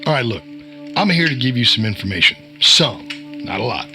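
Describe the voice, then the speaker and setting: Man acting bright-voiced, folksy, cagey insider over music. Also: mid-range voice, sing-song, fairly quick, exaggerated voice. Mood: guarded, explaining. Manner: folksy, chiding, cryptic. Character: cagey, wisecracking male veteran insider and short-order cook. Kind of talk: audio drama acting.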